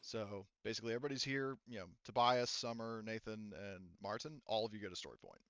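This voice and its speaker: A man running a tabletop roleplaying game as game master, explaining story and rules in a halting, informal way. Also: lowish voice, a bit bright, smooth, no room sound, highs cut off. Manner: halting, hedging, informal and profane.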